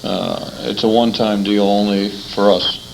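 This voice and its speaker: An American man conducting a conversational interview, haltingly recounting details and repeating words as he goes. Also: on the low side, fairly even intonation, vocal fry, background noise.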